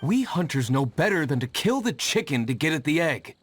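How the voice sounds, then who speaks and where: A woman voicing a stern adult female hunter in a video game dub, firm and reproachful, with a husky voice. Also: deep voice, very animated, a little fast, soft background music, full character voice.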